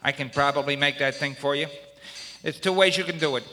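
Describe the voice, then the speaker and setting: Comedy dwarf fabricator played by a man: grudging, blunt, offhand, slightly high voice, noisy live recording. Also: a little fast, bright, forceful tone. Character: gruff, grudging male dwarf craftsman. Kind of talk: live audio comedy acting. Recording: live stage recording with clear noise.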